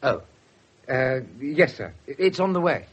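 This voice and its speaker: Stiff young detective constable. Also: male voice, mid-range voice, expressive, measured pace, husky, dull audio. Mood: deferential. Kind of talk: 1970s radio drama acting.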